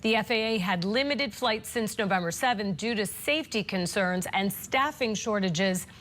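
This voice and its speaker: A woman's voice: medium pitch, moderate pace, fairly bright tone, a little hiss or hum. Woman anchoring the news. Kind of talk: news anchoring, introducing and questioning a reporter.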